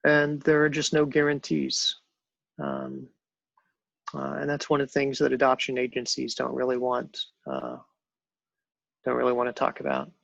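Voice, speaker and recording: Guest, male, 50s; gravelly, halting storyteller, dull dry audio. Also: medium pitch, fairly bright tone, no echo. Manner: halting, many ums and restarts. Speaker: guest telling his adoption story. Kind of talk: personal storytelling on a panel show.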